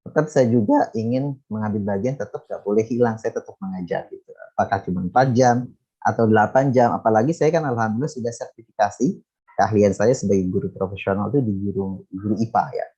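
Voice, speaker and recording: A man's voice: fairly low pitch, quick pace, dark tone, breathy edge, lo-fi sound. A middle-aged man, an educator chatting casually and explaining his work in an interview. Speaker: teacher, education manager and author being interviewed. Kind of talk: casual explanatory chat with many fillers.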